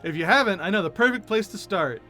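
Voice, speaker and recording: An eager young carpenter apprentice from a video game, played by a man, effusive and theatrical. The voice is high and bright, swinging freely in pitch, with music underneath. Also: expressive, fast. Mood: bubbly. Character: eager, chatty young carpenter apprentice who loves food. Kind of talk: game dialogue read aloud.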